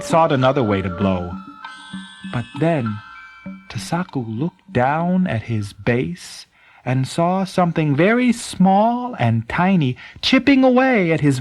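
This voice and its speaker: A man tells a bedtime story at an unhurried pace, with dramatic pauses and emphasis. His pitch rises and falls widely, and soft music plays behind him. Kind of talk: expressive scripted storytelling.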